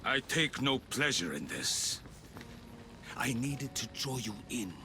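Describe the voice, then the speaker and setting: An actor playing a cold, older male villain in a video game, gravelly and deliberate, speaking with calm menace. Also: medium pitch, over music. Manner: slow, deliberate, calmly menacing. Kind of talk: dramatic video game acting. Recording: noisy, with ambient sound behind.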